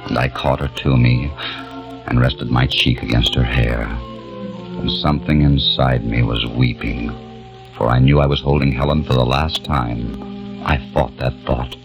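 A man's voice: extremely low pitch, fairly even intonation, unhurried, over music. A man in a 1940s radio drama playing a modern man stranded in eighteenth-century London, given to impassioned, bitter outbursts. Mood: wistful.

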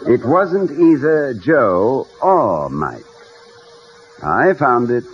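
An actor playing a genial, tactful college president who speaks politely and carefully in a vintage radio comedy. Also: male voice, mid-range voice, very animated, exaggerated voice. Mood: playful, flustered. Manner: polite, measured, hesitant, wordy. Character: genial, courtly college president, tactful and gently whimsical husband. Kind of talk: 1940s-50s radio comedy acting. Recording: muffled, hissy vintage radio recording.

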